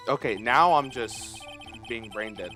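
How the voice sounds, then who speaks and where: A male game streamer, not a native English speaker, talks casually over clearly audible game music. His pitch swings in a lively way as he thinks aloud and pokes fun at himself. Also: measured pace. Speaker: streamer playing a video game. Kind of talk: casual gaming commentary. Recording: music behind the voice.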